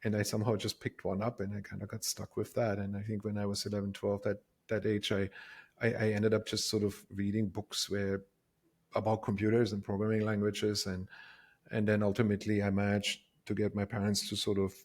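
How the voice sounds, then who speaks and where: A middle-aged man with a German accent speaks in a low voice. He talks casually and reflectively as a guest, circling back over his words in a rambling, self-deprecating way. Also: monotone, brisk, a little mellow. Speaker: interview guest, tech company co-founder. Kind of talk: casual reflective interview talk.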